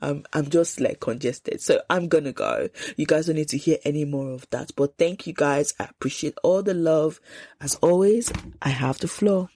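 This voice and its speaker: A Nigerian woman in her thirties hosting a solo podcast, congested with a sore throat, talking in an emphatic, animated way. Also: sits low, moderate pace, dry recording. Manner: animated, emphatic, quotes people in lively asides. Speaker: podcast host.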